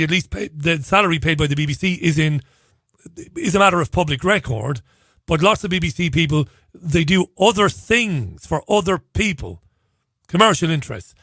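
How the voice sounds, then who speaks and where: Hoarse male talk host, dry close mic, chatty and wry, cuts himself off mid-thought. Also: a little high, a bit bright, dry recording. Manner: chatty, wry asides, self-interrupting. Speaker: radio show host. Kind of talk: casual talk radio monologue.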